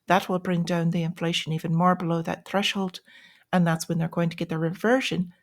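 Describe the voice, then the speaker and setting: A woman's voice: lowish voice, flat delivery, a little fast. A woman giving informal news commentary in a plain-spoken, explanatory and cautionary way, as the host of a financial vlog.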